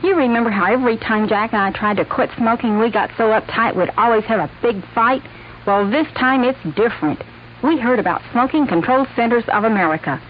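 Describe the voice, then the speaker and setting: A delighted wife played by an actress chats in a radio commercial. Her voice is on the high side. There is faint hiss and a muffled tone. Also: brisk, lightly acted. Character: delighted wife chatting to a friend in an ad. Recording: dull radio recording with hiss.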